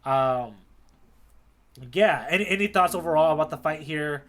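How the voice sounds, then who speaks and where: A man co-hosting a podcast in casual, informal, slangy discussion with an animated delivery. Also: a little high, moderate pace. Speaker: podcast co-host. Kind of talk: casual manga discussion chat.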